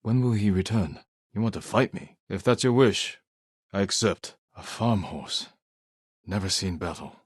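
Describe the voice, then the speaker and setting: A man voicing a stoic, honorable samurai warrior in a video game, speaking in a measured, solemn and restrained way. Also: lowish voice, breathy edge, no room sound, clean studio sound. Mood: firm, insistent, then quiet, brooding. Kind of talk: dramatic video game voice acting.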